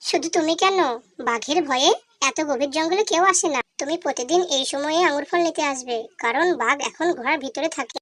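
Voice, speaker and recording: A tiny, helpful butterfly from a children's cartoon, acted by a woman. The voice is very high and childlike. It speaks sincerely, with a kindly, grateful temper. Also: brisk, fairly bright tone.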